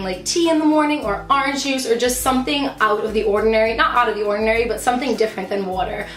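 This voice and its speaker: A woman talks in a fairly high voice that is a little hoarse from overuse. She chats casually to camera like a vlogger, leaning on emphatic repeats. The room adds noticeable echo, and faint music plays behind her. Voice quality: slightly hoarse. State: losing voice from talking. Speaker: vlogger, fifth grade teacher. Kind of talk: casual chatty product show-and-tell to camera.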